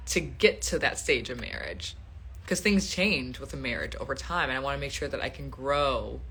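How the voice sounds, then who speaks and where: A woman's voice: low-pitched, pushed and bright, a little hiss or hum. A woman in her twenties hosting a casual live stream from home, chatty and laughing often as she reads out and answers viewer questions. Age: 20s. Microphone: phone.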